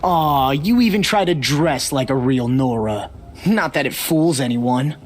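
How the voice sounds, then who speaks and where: A man's voice: medium pitch, expressive, unhurried, slight background noise, lightly acted. Guy voicing cocky young bully in a game, mid voice, mocking, faint ambience behind. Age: young adult. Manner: sneering, mocking. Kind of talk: video game voice acting.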